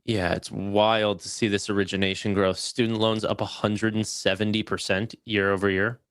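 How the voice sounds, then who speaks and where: A man co-hosting a casual live discussion, giving opinions informally and haltingly. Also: on the low side, little pitch movement, clear, resonant tone, no room sound, clean studio sound. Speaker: live stream co-host. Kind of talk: casual chat commentary.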